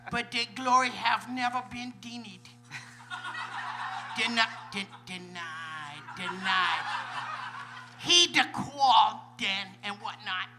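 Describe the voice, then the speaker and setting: A man voicing a parody boxer with a soft lisp, fumbling through a heroic prologue in a live comedy radio play. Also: very high voice, full character voice. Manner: fumbles grand words, tacks on rambling filler.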